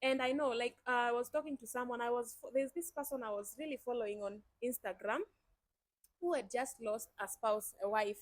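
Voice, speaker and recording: A woman's voice: on the high side, brisk, dry recording. A young Kenyan woman hosting her YouTube channel, chatting enthusiastically and speaking straight to her viewers. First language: Swahili. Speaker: YouTube host. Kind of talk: casual chat.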